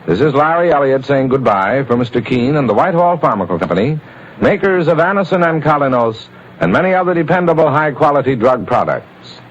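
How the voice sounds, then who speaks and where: A man announces in a scripted, old-time radio style. His mid-range voice rises and falls with animated intonation. The recording is muffled and dated. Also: mid-range voice, brisk. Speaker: program announcer. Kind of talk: scripted 1940s-50s radio sign-off.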